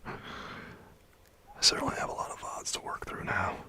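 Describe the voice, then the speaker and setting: A man chatting with his livestream viewers in a soft whisper, rambling and chuckling as he goes. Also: measured pace.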